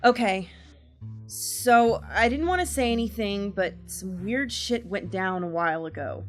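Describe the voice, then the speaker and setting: A jittery, streetwise young woman, played by an actress, confides in detectives in a horror radio drama. She speaks at a middle pitch in an anxious, hurried way, with faint music underneath. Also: expressive, close to an everyday voice. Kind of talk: audio drama acting.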